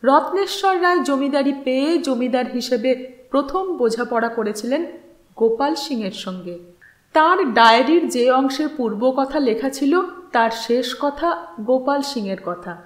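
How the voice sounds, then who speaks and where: A woman with a notably high voice narrates literary fiction at an unhurried, slow pace. Her reading is measured and expressive, with deliberate pauses between phrases. The sound is roomy, with clear echo around her voice. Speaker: audiobook narrator. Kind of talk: scripted dramatic storytelling narration of a literary novel. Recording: roomy, echoing voice recording.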